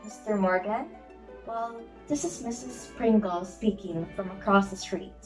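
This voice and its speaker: A woman acts out an excitable society matron in an amateur one-act play. She is brisk, fretful and used to giving orders, in a mid-range voice over music. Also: medium pitch, lightly acted. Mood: flustered, indignant. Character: fussy, excitable, fashionable society hostess and mother. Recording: music behind the voice.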